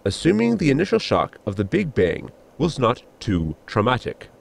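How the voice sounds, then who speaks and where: A man's voice: mid-range voice, moderate pace, creaky, a little hiss or hum, full character voice. A man playing a formal, drily witty, deferential spaceship crewman in a sci-fi comedy audio drama. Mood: dry. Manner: deadpan, formal, wordy. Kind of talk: science fiction comedy audio drama acting.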